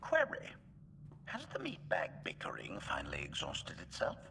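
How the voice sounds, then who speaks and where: An actor voicing a coldly eager, servile assassin droid in a game, with clipped announcements and a robotic filter. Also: male voice, a bass voice, wildly swooping pitch, moderate pace, gravelly, sharp tone, in a whisper, exaggerated voice. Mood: coldly formal. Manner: clipped, announces each statement type first. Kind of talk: video game cutscene acting.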